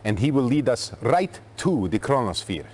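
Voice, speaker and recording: Deferential Soviet military man, acted by a man, lively pitch, formal game-cutscene briefing style. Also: moderate pace, a little hiss or hum, close to an everyday voice. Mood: earnest, urgent. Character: dutiful Soviet officer, deferential yet quick to blame. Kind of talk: dramatic video game cutscene acting.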